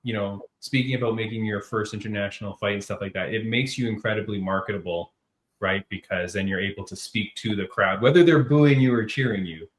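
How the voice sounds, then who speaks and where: A man's voice: fairly low pitch, brisk. A man from Canada hosting a podcast interview, upbeat and chummy, calling his guest "man" and "buddy". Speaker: podcast host. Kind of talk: casual interview.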